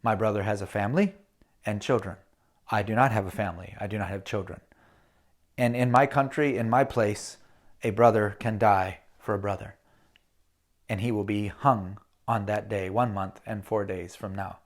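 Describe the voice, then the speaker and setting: A man voicing a humble, devoted Chinese male butler who speaks slowly and softly in broken, formal phrases. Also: fairly low pitch, voice pushed down, natural voice. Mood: grave, quiet.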